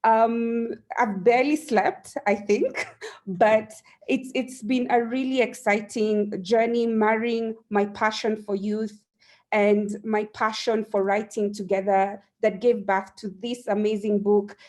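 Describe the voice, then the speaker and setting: Woman host, eager and gushing, mid-pitched, welcoming an online launch. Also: steady tone, slow, a little mellow. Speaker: event host. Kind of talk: excited welcome speech.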